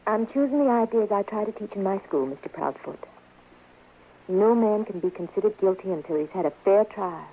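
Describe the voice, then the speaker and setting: An actress playing an earnest, principled frontier schoolteacher with an American accent and proper diction in an old radio western. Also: female voice, mid-range voice, animated, brisk, husky. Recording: muffled, faintly noisy old radio recording.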